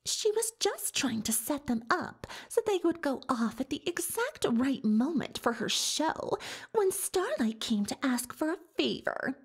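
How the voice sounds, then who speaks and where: A vain young female unicorn stage magician from a cartoon world, in an ASMR roleplay. Her voice is high and hushed, often dropping into a whisper. She takes her time, with sweeping melodic rises and falls, boasting theatrically and excusing herself with great self-importance. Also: sing-song, unhurried, exaggerated voice. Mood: confiding, defensive.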